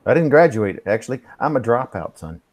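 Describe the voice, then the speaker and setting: A man's voice: mid-range voice, very animated, brisk, a little mellow, a little hiss or hum. Rambling man in his fifties. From Texas. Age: 50s. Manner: rambling, swears, loses track. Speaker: host. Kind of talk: casual live stream chat.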